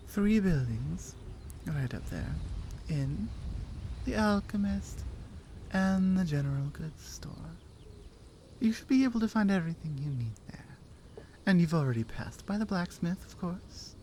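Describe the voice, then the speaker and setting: A woman's voice: fairly low pitch, lively intonation, a little slow, long pauses, dark tone, slight background noise. A woman acting a bold, teasing Nordic warrior in an intimate ASMR roleplay, with a Nordic accent and a playful, confident manner. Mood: helpful.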